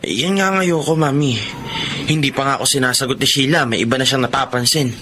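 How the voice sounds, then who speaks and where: An actor playing a possessive young suitor in a Filipino radio drama, casual and slipping between languages. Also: male voice, mid-range voice, brisk, background noise, natural voice. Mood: jealous. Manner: casual, mixing English phrases. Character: possessive young suitor, restaurant owner's son. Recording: music behind the voice.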